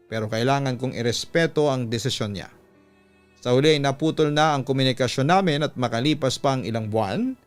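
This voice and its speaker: A man reading a scripted personal story as a radio-style narrator, with a clear, smooth voice. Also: mid-range voice. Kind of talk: scripted narration of a listener's letter, then advice. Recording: background music under voice. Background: music.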